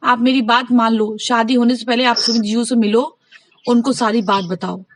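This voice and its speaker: Woman, higher-pitched and dry-sounding, insistent advice-giver chatting informally on a call, retelling conversations in quotes. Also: steady tone, normal speed, no room sound. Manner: insistent, advising, recounts conversations by acting out quotes. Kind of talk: casual private phone conversation.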